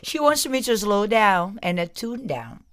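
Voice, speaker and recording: A woman in her forties with a French accent, chatting as a guest on a talk show, loud and quick to laugh. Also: medium pitch, big pitch swings, a loud crowd. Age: 40s. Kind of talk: casual chat.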